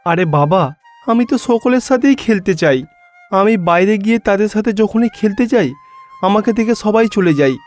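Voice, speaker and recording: A man's voice: high voice, expressive, normal speed, a little mellow, soft background music, voice pushed up. A man voicing a pious, lonely young schoolboy, earnest and pleading, in a cartoon story. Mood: downcast.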